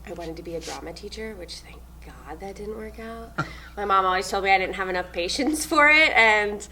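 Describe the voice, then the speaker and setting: A young adult woman from New Zealand, a stage manager answering interview questions in a loud, upbeat way, often laughing. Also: medium pitch, animated, fairly quick, a crowd in the background.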